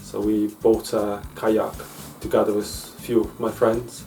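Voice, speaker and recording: A man's voice: fairly low pitch, level tone, a little slow, mellow. A man from Ukraine, chatting casually in an interview in an easygoing way. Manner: easygoing, with frequent "uh" and non-native grammar. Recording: distant mic with faint music.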